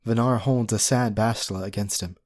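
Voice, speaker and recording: Low-voiced man narrating scene directions. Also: fairly even intonation, a little fast, darkish tone. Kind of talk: scripted narration of screenplay directions. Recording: faint background noise and ambience.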